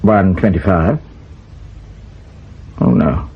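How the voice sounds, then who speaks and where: An actor playing a curt, defensive intelligence officer under pressure in a radio spy drama, with a husky, clipped delivery. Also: male voice, low voice, animated, slow pace, phone-quality sound, heavily acted. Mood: shocked, defensive.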